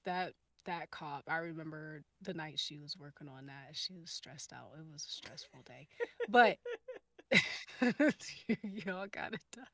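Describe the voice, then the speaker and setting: A woman's voice: low-pitched, measured pace, a bit bright. A woman chatting casually as a panelist, thoughtful and hesitant as she works out her answers. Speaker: podcast cast or crew panelist. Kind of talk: casual chat.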